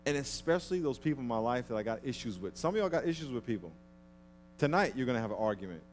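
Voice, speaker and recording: Animated preacher man on a church stage. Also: medium pitch, fast talker, slight background noise. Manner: animated, acts out petty grudges in a mocking voice. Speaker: pastor. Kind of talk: sermon. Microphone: headset.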